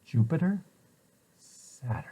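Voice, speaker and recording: A man's voice: mid-range voice, wildly swooping pitch, slow pace, warm tone, breathy edge. A man reading a children's picture book aloud in an explanatory way, posing questions and then answering them. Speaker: preschool site director reading aloud to children.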